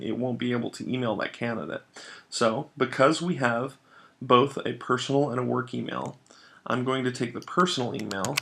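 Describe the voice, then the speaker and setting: Gravelly male software trainer, step-by-step. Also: mid-range voice. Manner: instructional, explanatory. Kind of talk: step-by-step screencast tutorial narration.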